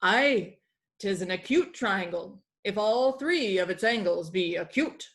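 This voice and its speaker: Woman voicing a hearty, lively pirate captain. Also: mid-range voice, a little slow. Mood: instructive. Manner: pirate grammar, declamatory. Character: hearty pirate sea-captain creature teaching woodworking.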